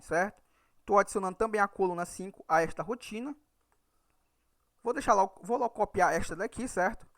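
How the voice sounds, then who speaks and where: A man's voice: sits high, fairly bright tone, no room sound. Cearense man teaching code, dry close mic. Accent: Northeastern Brazilian (Ceará). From Ceará, Brazil. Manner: explanatory, checks in with "certo?" after nearly every point. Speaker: Excel VBA instructor. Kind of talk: screen-recorded programming tutorial.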